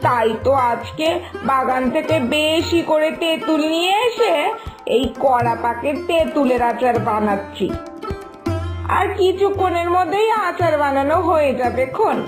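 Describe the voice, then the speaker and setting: A woman's voice: high-pitched, lively intonation, measured pace, music in the background. A woman playing a stubborn, ageing mother-in-law in a cartoon, with a coaxing, exaggerated delivery. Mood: pleased, chatty. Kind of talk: scripted cartoon dialogue.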